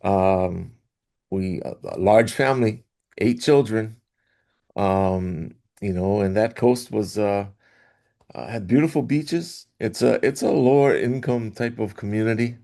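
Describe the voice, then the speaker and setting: A middle-aged man from Hawaii, a guest in an interview, telling his story in a rambling way with restarts and soft laughs. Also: on the low side, unhurried, creaky. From Hawaii, Oahu. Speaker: guest, athletic director association executive. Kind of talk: casual interview talk.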